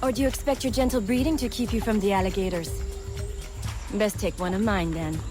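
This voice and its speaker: An actress playing a proud, sharp-tongued woman smuggler of the swamp in a video game, sassy and blunt. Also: medium pitch, fairly even intonation, a little fast, over music, close to an everyday voice. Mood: scornful.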